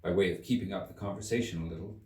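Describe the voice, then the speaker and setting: A man reading a story aloud as an audiobook narrator, in an expressive scripted storytelling style. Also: sits low, very fast. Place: room inside a museum. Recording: roomy, echoey, distant from the mic.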